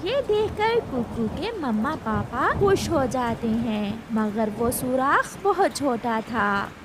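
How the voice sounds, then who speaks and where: Woman, children's story narrator, unhurried, very animated intonation, noisy background. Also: fairly high pitch. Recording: clear background noise.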